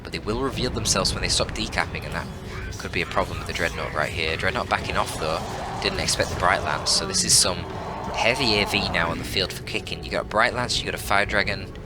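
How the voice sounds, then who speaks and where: A man giving live, analytical and conversational commentary on a strategy game, as a shoutcaster does. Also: deep voice. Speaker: esports shoutcaster. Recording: voice over loud game audio. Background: loud game music and battle sounds.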